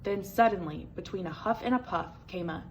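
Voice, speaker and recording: A woman reading a children's picture book aloud as an expressive storyteller. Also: mid-range voice, a little fast, a little hiss or hum, poor audio.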